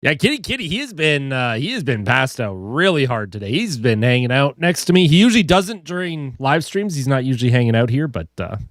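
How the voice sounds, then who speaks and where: A man's voice: mid-range voice, sing-song, fairly quick, few pauses, dry recording, clean studio sound. Stuffy-nosed young man chatting with stream viewers. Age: young adult. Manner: chatty, reads and greets viewer comments. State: stuffed up from a head cold; a little tired. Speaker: hockey streamer. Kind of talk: casual live stream chat.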